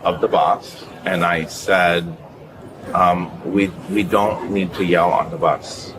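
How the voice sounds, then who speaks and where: Very deep-voiced man, insistent, noisy audio. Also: fairly even intonation, slow. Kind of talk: giving his account to police. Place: airport, in front of officers. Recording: noisy police body camera audio.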